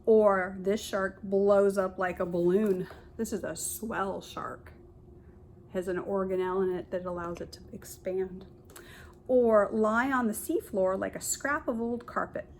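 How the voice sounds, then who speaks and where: A woman kindergarten teacher reads a picture book aloud to young children, pausing to explain and point things out in simple words. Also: mid-range voice, darkish tone, a little hiss or hum.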